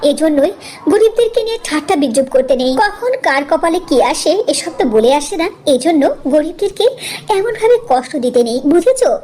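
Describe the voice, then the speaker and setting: A woman's voice: very high-pitched, sing-song, brisk, darkish tone, voice pushed up. A woman voicing a proud young bride in a cartoon, scolding and lecturing with self-satisfaction. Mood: smug, admonishing. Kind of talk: cartoon story character acting.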